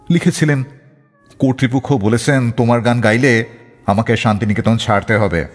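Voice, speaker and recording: A man's voice: medium pitch, a little mellow, slightly rough. A man hosting a radio show, narrating scripted anecdotes between songs. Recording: long hall-like reverb, faint music behind. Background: faint music.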